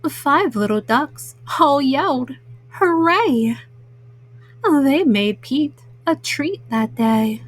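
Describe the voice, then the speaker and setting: A woman storyteller reading a children's picture book at bedtime, slowly and simply, as a mama to young listeners. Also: high voice, expressive. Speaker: storyteller host.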